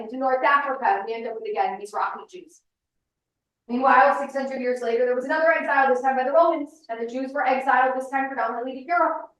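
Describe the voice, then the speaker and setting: A woman in her thirties speaks with firm, insistent emphasis as she lectures a large room. Her voice sits a little high. It rings through a very reverberant hall, picked up from some distance away. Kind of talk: public lecture. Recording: echoey hall, mic at a distance.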